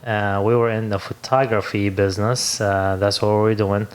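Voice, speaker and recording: A man's voice: fairly low pitch, flat delivery, normal speed. A young man from Libya, an English speaker, telling his story as a podcast guest and searching for his words. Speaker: podcast guest, business owner. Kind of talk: casual interview chat.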